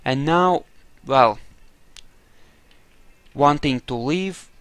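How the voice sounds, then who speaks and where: A man giving casual role-playing game commentary, haltingly thinking aloud. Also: a little high, fairly bright tone, clear, resonant tone, no echo. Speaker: gameplay narrator.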